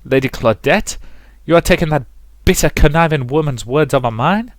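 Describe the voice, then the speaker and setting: A man's voice: fairly high pitch, animated, normal speed, no echo, voice pushed up, lightly acted. A man voicing a suave, defensive aristocratic fiancé from a visual novel, protesting in a weary, formal way. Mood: irritated.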